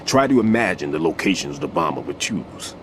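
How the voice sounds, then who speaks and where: An actor playing an aging, retired bomb disposal expert in a video game, a weary mentor who explains things in a measured, authoritative way. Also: male voice, low-pitched, very animated, a little hiss or hum. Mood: instructive. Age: older.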